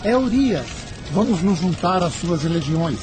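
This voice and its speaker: A man's voice: sits high, very animated, measured pace, over loud music, low-quality recording. A man with a Brazilian accent playing a commanding spirit in an audio drama, grave and declamatory. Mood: commanding, triumphant. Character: commanding spirit witnessing a planet's fiery purge.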